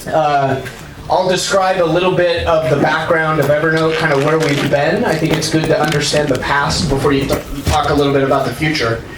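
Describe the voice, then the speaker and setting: A loud, chatty man gives a casual conference talk with plenty of fillers and restarts. His somewhat high voice is heard from a distance, echoing around a large university lecture hall with audience noise behind him. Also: fairly even intonation, a little fast. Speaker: conference speaker. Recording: echoey hall picked up from far off.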